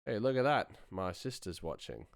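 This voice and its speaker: A man's voice: on the low side, big pitch swings, normal speed, dry recording, clean studio sound. A man hosting a live stream, chatting informally and thinking aloud as he works on sound design. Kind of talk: casual tutorial chat while working.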